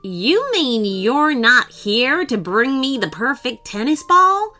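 A woman's voice: fairly high pitch, big pitch swings, slow, fairly bright tone, faint music behind, full character voice. Storybook eccentric: pompous, fussy male collector, grand and theatrical, as a woman narrator puts him on.

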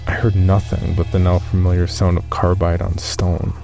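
A man playing an uneasy cave explorer, recounting his expedition in measured first-person horror narration. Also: deep voice, completely flat delivery, vocal fry, warm tone. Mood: uneasy, subdued. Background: music.

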